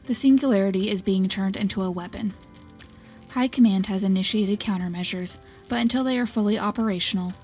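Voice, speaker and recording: Woman as composed wartime propaganda anchor; mid-pitched, formal, measured bulletin over music. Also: a little mellow, close to an everyday voice. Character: composed female military propaganda news anchor. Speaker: news anchor. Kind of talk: scripted wartime news bulletin.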